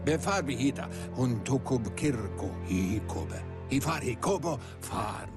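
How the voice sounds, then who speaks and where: A man's voice: medium pitch, animated, measured pace, natural voice. An actor voicing an old male creature who speaks in a made-up gibberish language, in a game. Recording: game audio with music behind.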